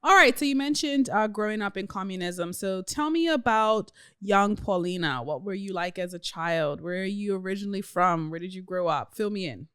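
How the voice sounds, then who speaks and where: A woman's voice: mid-range voice, moderate pace, few pauses, a bit bright, smooth, no room sound, clean studio sound. A woman hosting a podcast, asking questions in a casual, conversational interview style.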